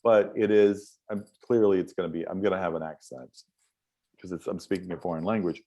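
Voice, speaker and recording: A man's voice: deep voice, brisk. A middle-aged American man telling stories in an interview, rambling and restarting often. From United States. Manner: rambling, animated storytelling with many restarts. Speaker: interview guest. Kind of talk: casual interview chat.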